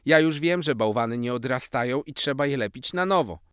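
A man voicing an excitable, affectionate seven-year-old boy in a children's story, eager and exclaiming. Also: mid-range voice, brisk, clean tone, no echo, clean studio sound, pitched above the performer's own voice, natural voice. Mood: sincere, eager.

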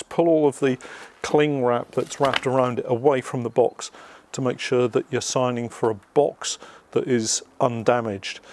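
Chatty British man presenting casually. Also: medium pitch. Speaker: vlog host. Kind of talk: casual unboxing narration to viewers.

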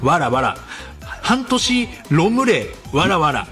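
Man as a snide internet troll, highish voice. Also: big pitch swings, slow, pushed and bright, recorded from a distance, faint music behind, heavily acted. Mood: mocking. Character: snide, mocking internet troll reading out posts.

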